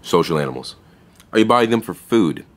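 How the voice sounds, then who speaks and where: A young pet shop clerk in an audio comedy. His voice is very low, and he delivers everything in a bored, blunt deadpan, as if he could not care less. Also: fairly quick. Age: young adult. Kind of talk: comedic audio drama acting.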